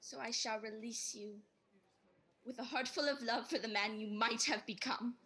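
A woman playing an earnest, sorrowful young fiancée in a radio drama, measured and pointed. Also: on the high side, steady tone, sharp tone, husky, exaggerated voice. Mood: sorrowful, resolute. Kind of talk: stage-style radio drama acting.

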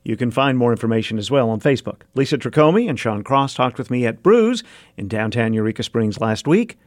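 A man's voice: mid-range voice, lively intonation, a little fast, dry recording. A man hosting a public radio magazine show, speaking close to a studio mic.

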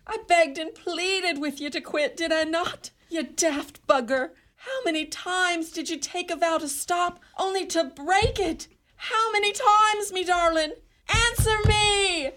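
A woman's voice: extremely high pitch, unhurried, fairly bright tone. A woman playing a bitter, scolding Irish wife in a radio play, with a theatrical, reproachful delivery.

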